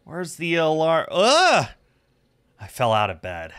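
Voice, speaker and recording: A man's voice: on the high side, wildly swooping pitch, takes it slowly, fairly bright tone, clean tone. A man hosting a game livestream, chatting casually and playfully and reading game text aloud. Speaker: game streamer, host.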